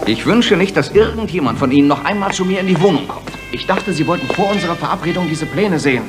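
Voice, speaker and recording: An actor playing a precise, commanding, sardonic gentleman detective in a German radio play. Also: high voice, expressive, quick pace, over music.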